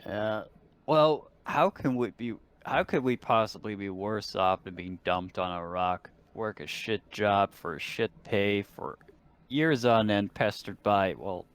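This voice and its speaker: A man's voice: lowish voice, unhurried, clear, resonant tone. A man playing a tabletop roleplaying game, talking casually and arguing his case with plenty of swearing. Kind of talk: casual in-character table talk.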